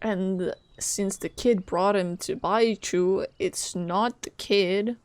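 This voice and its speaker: Female gamer, casual and skeptical, playful interjections, mid-pitched, very little room sound. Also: fairly even intonation, takes it slowly, a little mellow, clean tone, dry recording. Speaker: game streamer. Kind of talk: casual reactions to a game playthrough.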